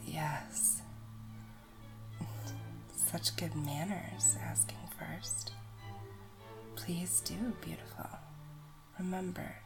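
A woman whispering softly and tenderly in an intimate audio roleplay, coaxing and gentle, with music behind her. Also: on the low side, vocal fry, low-quality recording.

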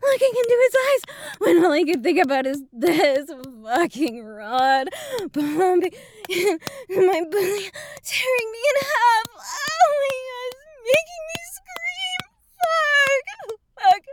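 A woman's voice: extremely high pitch, lively intonation, measured pace, noisy background, exaggerated voice. A woman playing an overwrought, conflicted adult bride in an explicit audio roleplay, with breathless, moaning delivery.

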